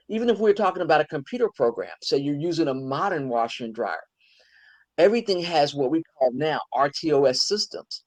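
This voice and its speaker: Enthusiastic older woman hosting an interview. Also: low voice. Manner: enthusiastic, conversational. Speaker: host.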